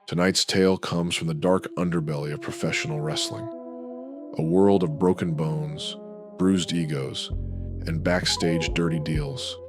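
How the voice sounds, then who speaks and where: Super deep guy voice narrating a spooky radio drama, measured and ominous, music playing behind him. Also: fairly even intonation. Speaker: narrator and host of horror anthology.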